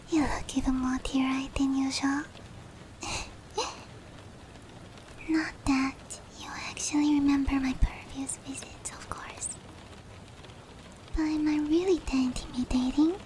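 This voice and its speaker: A woman voicing a female sleep paralysis demon in a breathy, whispered roleplay ASMR style, with hushed, close, drawn-out phrasing. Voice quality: breathy, whispery, high-pitched. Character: female sleep paralysis demon, teasing menace turning tender. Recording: steady rain noise behind voice.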